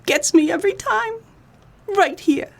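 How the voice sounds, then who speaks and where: A woman's voice: very high voice, lively intonation, unhurried, creaky, heavily acted. A woman playing a brassy, sassy, breezily menacing dame in a comic noir radio play. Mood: dreamy admiration.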